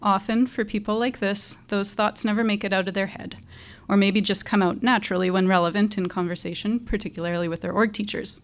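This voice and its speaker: A woman teacher with a quiet voice giving a measured, earnest graduation tribute to a student. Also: medium pitch, steady tone.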